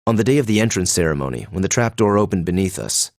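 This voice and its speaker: An actor playing a cool, composed young noble student in a video game, speaking formally and with measured, aloof diction. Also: male voice, deep voice, big pitch swings, a little mellow. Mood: serious, critical. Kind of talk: English video game voice acting.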